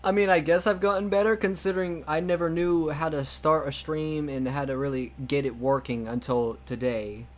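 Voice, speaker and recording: A young man streaming a game, chatting casually and rambling with many restarts, quick to laugh. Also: a little high, steady tone. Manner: laughs easily. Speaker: streamer playing a game mod.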